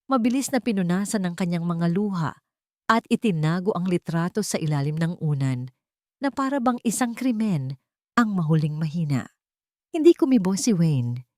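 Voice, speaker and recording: Woman, lower-pitched voice, dry sound, scripted romantic drama narration. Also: lively intonation, normal speed, dark tone, dry recording. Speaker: storyteller.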